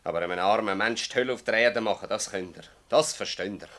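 A man's voice: lowish voice, fast, fairly bright tone, close to an everyday voice. A man playing a bitter, defiant master farmer in a radio drama, accusing and emphatic, with a Swiss German accent. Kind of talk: radio drama acting in dialect.

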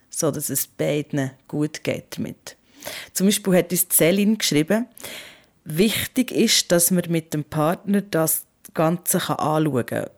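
A woman with a Swiss German accent hosting a podcast in a conversational narrating style. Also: sits low. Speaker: host.

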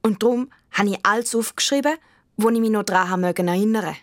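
A woman's voice: medium pitch, fast, no echo, clean studio sound, close to an everyday voice. A female performer playing a thoughtful young girl who narrates her own story in a radio play, with a Swiss German accent. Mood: reflective.

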